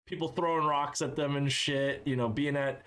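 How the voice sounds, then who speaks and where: A man's voice: on the high side, steady tone, normal speed, fairly bright tone, slight background noise. A man from Cape Cod, Massachusetts, hosting a casual podcast chat, laughing often and swearing offhandedly. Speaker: podcast host.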